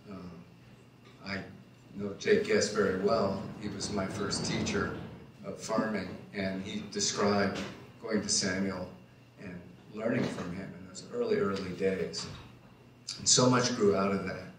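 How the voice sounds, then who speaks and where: Man panelist, earnest and reflective; lowish voice, booming hall echo. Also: fairly even intonation, a little slow, background noise. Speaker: panelist, organic farmer. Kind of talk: panel discussion remarks. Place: large wooden barn hall, indoors. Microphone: handheld mic, far camera. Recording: echoey barn from far off.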